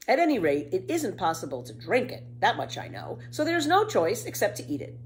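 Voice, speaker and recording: A woman's voice: mid-range voice, wildly swooping pitch, a little fast, a little hiss or hum, pitched above the performer's own voice. A woman voicing a bold, carefree, mischievous young storybook girl with a breezy, matter-of-fact, exclaiming manner. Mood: curious, pleased. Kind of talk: storybook character voice.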